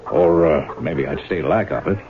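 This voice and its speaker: An actor playing a lecherous, sly older farm brother with drawling, insinuating folksy talk in a radio drama. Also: male voice, very deep voice, moderate pace. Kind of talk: 1970s radio drama acting. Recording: tinny, noisy old radio broadcast.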